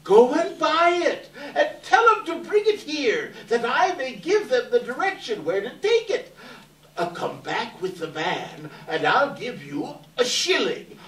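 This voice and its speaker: An old gentleman, giddy and kindly, acted by a man on stage in a theatrical, exclaiming way. His pitch swoops widely and his pace is unhurried. It is heard from a distance in an echoey hall. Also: sing-song, exaggerated voice. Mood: giddy, delighted. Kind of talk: readers theater stage acting.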